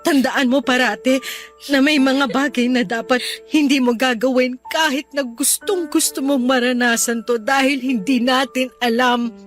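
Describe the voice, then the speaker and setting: A woman's voice: sits high, steady tone, moderate pace, faint music behind, lightly acted. A woman playing a desperate young mother in a radio drama, pleading and emotional. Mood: tender. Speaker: heroine.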